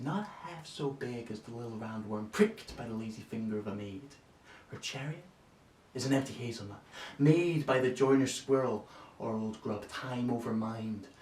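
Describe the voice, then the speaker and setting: A nineteen-year-old man playing a quick-witted young Shakespearean storyteller in a stage monologue, swinging theatrically between hushed and forceful delivery. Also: mid-range voice, lightly acted. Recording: roomy echo, distant mic.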